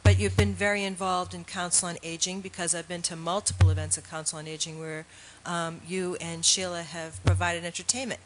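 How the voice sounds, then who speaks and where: A woman's voice: on the low side, level tone, a little fast, faint music behind, rough recording. A woman on a town board asks an applicant questions in a friendly, chatty way. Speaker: board member. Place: town board meeting room.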